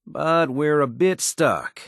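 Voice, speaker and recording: An actor playing a folksy, wisecracking American tinkerer in a video game, talking in casual slang. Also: male voice, fairly high pitch, slow, fairly bright tone, no echo, clean studio sound. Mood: eager, persuasive. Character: folksy, wisecracking male tinkerer and scrappy settler ally.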